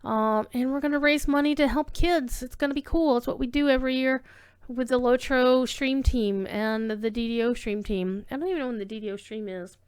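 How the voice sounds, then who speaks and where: A woman with a high, very clear and smooth voice hosts a casual livestream. She talks to her viewers in a chatty, informal ramble, drifting from one thought to the next with effusive warmth in her wording. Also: a little fast. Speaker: livestream host and reader.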